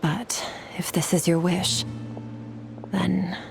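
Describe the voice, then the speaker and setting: Low-voiced, solemn warrior woman. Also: little pitch movement, slow pace, gravelly, over music. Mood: solemn, wistful. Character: solemn, reserved warrior woman haunted by loss. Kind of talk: scripted game voice acting.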